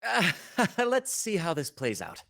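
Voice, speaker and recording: A man's voice: on the high side, huge pitch swings, measured pace, creaky, noisy background, exaggerated voice. A man playing a flamboyant, cocky pirate rogue in a video game, with a playful, mocking, grandiose manner. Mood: amused. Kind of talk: video game character acting.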